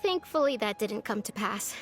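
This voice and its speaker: A woman's voice: mid-range voice, expressive, normal speed, over music, lightly acted. A woman voicing an earnest young student researcher in a video game. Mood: relieved.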